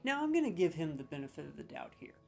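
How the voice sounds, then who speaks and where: A man's voice: fairly high pitch, sing-song, quick pace, gravelly, darkish tone. A man hosting a comedic video, ranting sarcastically with mock outrage as he scores game voice lines.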